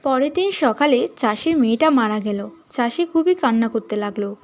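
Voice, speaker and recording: Woman narrator, high voice, scripted fairy tale storytelling. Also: animated, moderate pace.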